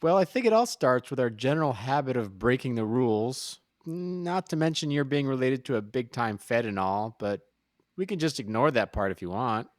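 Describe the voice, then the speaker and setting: A man's voice: medium pitch, animated, normal speed, no room sound, clean studio sound, close to an everyday voice. A man acting a loyal, easygoing friend in an audio drama, speaking casually with wry, supportive teasing. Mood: casual concern. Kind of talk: naturalistic audio drama dialogue.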